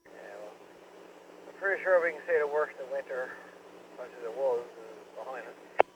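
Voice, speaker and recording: A man's voice: medium pitch, moderate pace. A man with a Newfoundland accent chatting on a ham radio in short, laid-back replies. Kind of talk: casual radio chat. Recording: dull radio transmission with faint noise.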